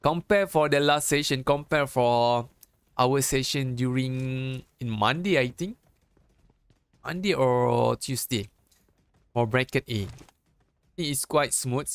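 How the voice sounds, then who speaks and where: A man from Malaysia, Malay-speaking by first language, casting a mobile esports match in halting English with a Malaysian accent. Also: medium pitch, clean tone, dry recording. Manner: halting, self-correcting English with pauses. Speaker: esports caster. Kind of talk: live game commentary.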